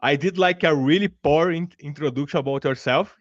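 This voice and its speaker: A man with a Brazilian Portuguese accent hosts an interview, stumbling and restarting often in friendly speech. His voice is a bit high for a man. The audio is dry and dull, as from a video call. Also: dry recording. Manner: halting, many restarts, friendly. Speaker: podcast host. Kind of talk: casual interview hosting.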